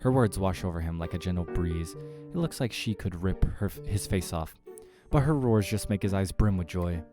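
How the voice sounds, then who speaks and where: A man's voice: deep voice, lively intonation, moderate pace, over music. A man reading scripted first-person horror narration aloud from a visual novel. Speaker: game player reading aloud.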